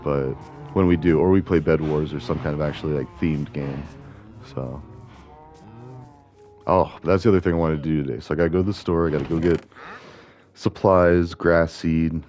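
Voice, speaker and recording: A man with a gravelly voice, chatting casually and rambling as he plays a video game, often correcting himself. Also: a bass voice, steady tone, moderate pace, long pauses, darkish tone, faint music behind. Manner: chatty, meandering, self-correcting. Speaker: gaming video host. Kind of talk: casual rambling commentary while playing.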